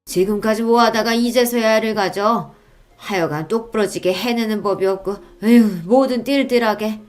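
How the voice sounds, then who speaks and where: A woman's voice: medium pitch, moderate pace, faint music behind, lightly acted. A woman voicing a stern, grumbling old mother-in-law who scolds curtly in a dramatized radio story. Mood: scornful. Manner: scolding, grudging, curt.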